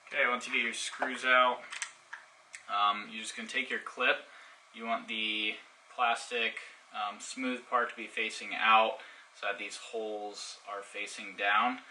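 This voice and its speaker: A man's voice: fairly low pitch, flat delivery, unhurried, bright, forceful tone. A man giving a casual unboxing review and hands-on demonstration, explaining things informally step by step. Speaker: product reviewer. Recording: distant mic, faint background noise.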